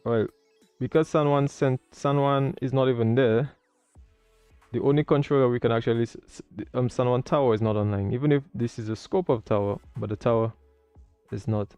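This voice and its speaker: A man's voice: medium pitch, steady tone, fairly quick, darkish tone, smooth, no echo, soft background music. A man hosting a livestream, talking casually as he comments and explains as a learner. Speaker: livestream host learning air traffic control.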